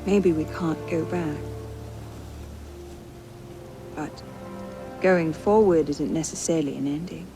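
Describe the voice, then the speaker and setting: A woman's voice: low-pitched, moderate pace. A woman playing a resolute, wistful young noblewoman in a video game cutscene. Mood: wistful, tender. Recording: music behind the voice.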